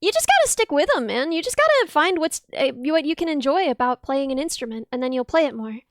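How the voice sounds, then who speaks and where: Young woman streamer: bright, high, slightly piercing voice, bubbly and gushing, dry close sound. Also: fast, no echo. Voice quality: high, dense, a little piercing. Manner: bubbly, gushes thanks with shrieks of excitement. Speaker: streamer and musician. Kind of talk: casual livestream chat with viewers.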